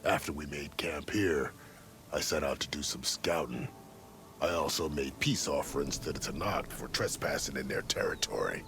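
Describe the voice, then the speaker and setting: An actor playing a plain-spoken, seasoned caravan scout in a video game, with a folksy, matter-of-fact manner. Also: male voice, rumbling low, normal speed, fairly bright tone, slight background noise. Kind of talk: video game character acting.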